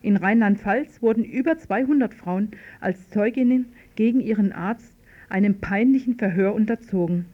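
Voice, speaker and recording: A female radio reporter with a mid-pitched voice reads a scripted political report. The archive recording sounds slightly dull and lo-fi.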